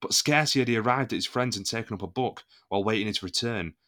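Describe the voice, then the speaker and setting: Actor as a bright, forceful musketeer captain. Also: male voice, fairly low pitch, quick pace, lightly acted. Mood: pointed, courtly. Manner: formal, emphatic, oratorical. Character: indignant, loyal musketeer captain, proud and courtly. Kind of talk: audio drama acting.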